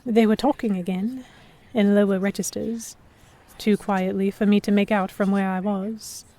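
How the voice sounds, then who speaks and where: Woman narrating a dramatic story, mid-pitched. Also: fairly even intonation, moderate pace, dark tone. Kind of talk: first-person dramatic audiobook narration.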